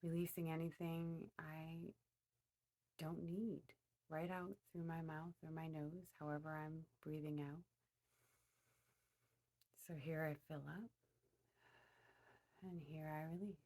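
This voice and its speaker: A woman leading a guided meditation, speaking to her listeners in a deliberate way with pauses in mid-phrase. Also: low voice, flat delivery, warm tone.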